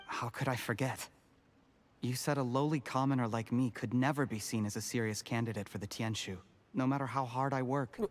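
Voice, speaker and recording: An actor playing an ambitious young schemer in a video game, outwardly servile and quietly bitter, in a measured manner. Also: male voice, medium pitch, steady tone, natural voice. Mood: cold, bitter.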